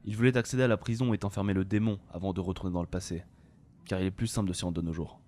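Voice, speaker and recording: A man's voice: low voice, flat delivery, rapid-fire, a little mellow, close to an everyday voice. A man playing a blunt, cautious and practical adventurer in an amateur audio fiction. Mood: explaining.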